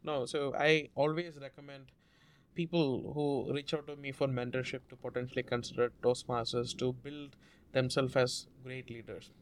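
A man from India, a Malayalam speaker, telling a story as an interview guest in an earnest, measured way. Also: medium pitch, level tone, a little hiss or hum. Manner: measured, earnest, drawn-out phrasing with restarts.

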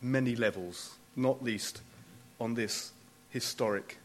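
A man giving a prepared memorial speech, formal and deliberate, with emphatic pauses and dry wit. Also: mid-range voice, a little hiss or hum. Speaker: closing speaker at a memorial event.

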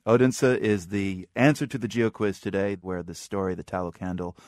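A man's voice: low-pitched, steady tone, moderate pace, a little mellow, no room sound, clean studio sound. A man presenting a radio magazine programme and interviewing guests as its host.

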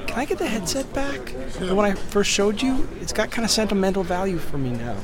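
A man's voice: high-pitched, animated, a little fast, music in the background. An actor in an audio drama playing an eager, naive young man, earnest, nervous and deferential. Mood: sheepish. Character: eager, apologetic young male acquaintance, naive. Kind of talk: naturalistic audio-drama acting.

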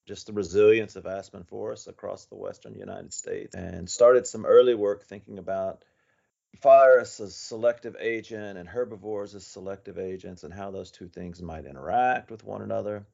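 Deep, very gravelly man. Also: moderate pace, darkish tone, lo-fi sound. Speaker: guest researcher. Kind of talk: conversational podcast interview.

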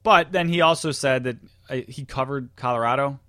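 A man with a North American accent chats about sports as a co-host. His mid-pitched voice is recorded dry, without room sound. He reasons aloud conversationally, often qualifying what he says. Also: moderate pace, bright, forceful tone, no room sound. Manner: hedging, conversational, thinking aloud. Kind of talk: casual sports chat.

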